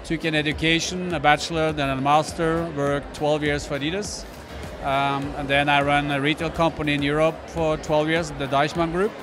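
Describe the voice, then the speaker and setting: A man speaking as a business executive on a red carpet, measured and modest, with frequent hesitations. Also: a little high, level tone. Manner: measured, modest, with many uh's. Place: indoor event red carpet with music. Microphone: handheld mics held by interviewers. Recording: noisy event with loud music.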